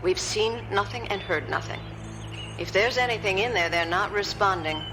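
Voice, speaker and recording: An actress plays an analytical female scientist in a radio drama. She speaks at a middling pitch in a measured, explanatory way. Clear music runs behind her briefing. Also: close to an everyday voice. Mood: measured, factual. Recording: music under the voice.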